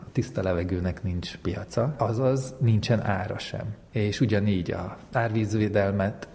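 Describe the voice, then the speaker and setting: A man's voice: lowish voice, vocal fry, dark tone. A man explains things as an interviewed economics lecturer, reflectively posing rhetorical questions. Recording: long reverberant hall echo.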